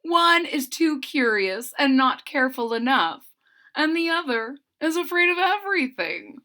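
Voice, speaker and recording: A woman's voice: high voice, sing-song, a little slow, sharp tone, voice pushed up, exaggerated voice. A woman voicing an exasperated, motherly mother cat in a children's storybook. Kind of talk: children's storybook read-aloud.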